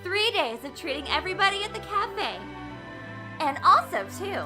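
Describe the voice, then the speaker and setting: A woman's voice: extremely high pitch, big pitch swings, moderate pace, over music. A woman playing a bossy, hot-headed teenage girl club leader in an anime fan dub, brash and demanding. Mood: gleefully demanding. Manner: brash, demanding, self-important.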